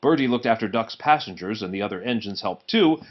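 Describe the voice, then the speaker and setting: Animated man reading a children's story. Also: fairly low pitch, brisk, highs cut off. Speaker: storybook reader. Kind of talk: expressive children's read-aloud narration.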